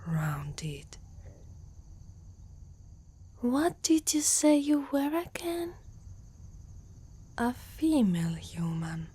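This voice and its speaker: Woman voicing a slow, breathy, proud centaur girl, Italian accent. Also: a little high, warm tone, full character voice. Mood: puzzled, curious. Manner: haughty, slow, often dropping into whispers. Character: proud, guarded young female centaur warrior, gruffly protective. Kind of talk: ASMR roleplay.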